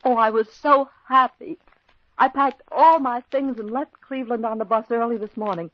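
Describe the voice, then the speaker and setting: Brief: actress as a distraught young fiancée, earnest, dry close old radio recording. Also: female voice, a little high, normal speed, no echo, close to an everyday voice. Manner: earnest, pleading. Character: grieving young woman, a murdered man's fiancée. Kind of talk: 1940s radio acting. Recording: muffled old radio broadcast.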